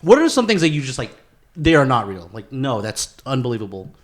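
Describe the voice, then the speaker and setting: Male podcast co-host, mid-pitched, informal and sweary, loose buddy-style banter. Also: big pitch swings, a little fast. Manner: slangy, profane, informal. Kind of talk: casual banter.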